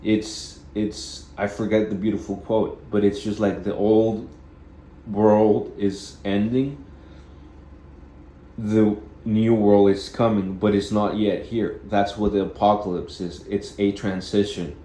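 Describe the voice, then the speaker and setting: A man with a deep voice giving a rambling spiritual self-help monologue, halting and repeating phrases as he goes. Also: level tone, mellow, echoey room, low-quality recording. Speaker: vlogger.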